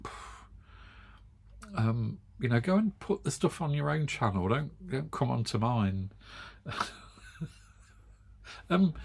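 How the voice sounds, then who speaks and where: A man's voice: medium pitch, gravelly, slightly rough. A middle-aged English man with an English accent, hosting a knitting channel and chatting wryly to camera with frequent chuckles. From England. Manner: chatty, wry, chuckles often. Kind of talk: casual chat to camera.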